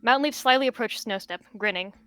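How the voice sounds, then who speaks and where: A woman softly narrating a cat roleplay story. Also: on the high side, fast, clear, resonant tone, faint music behind. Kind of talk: roleplay storytelling with character voices.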